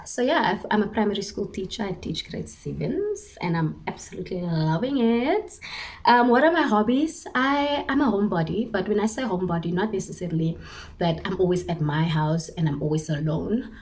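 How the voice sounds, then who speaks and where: A woman's voice: mid-range voice, animated, normal speed, a little mellow. Chatty woman in her twenties from Cape Town, Cape Town South African accent, Afrikaans first language, casual talk to camera at home, self-mocking, laughs often. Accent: Cape Town South African English. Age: 20s. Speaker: vlogger, primary school teacher.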